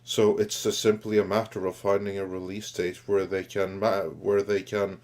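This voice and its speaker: A man's voice: deep voice, flat delivery, normal speed, a little mellow. A man in a casual chat, speaking confidently and matter-of-factly.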